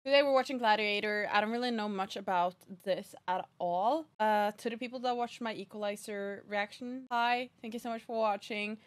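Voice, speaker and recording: A young woman from Norway whose first languages are Norwegian and Spanish, a chatty and excitable reaction host talking into a headset mic. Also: mid-range voice, normal speed, hardly any pauses. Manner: chatty, excitable, talks straight to the viewers. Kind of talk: casual movie reaction chat. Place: bedroom streaming setup.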